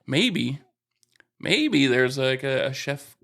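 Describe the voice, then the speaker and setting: A man's voice: medium pitch, very animated, slow pace, vocal fry, a bit bright. A man co-hosting a podcast, reading listener emails and teasing his co-host in casual chat. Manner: easygoing, teasing.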